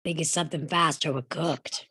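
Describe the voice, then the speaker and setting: A woman playing a worried male cowboy in a posse at a live table read, urgent and hushed. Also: deep voice, steady tone, normal speed, husky, dry recording, studio quality, exaggerated voice. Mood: alarmed.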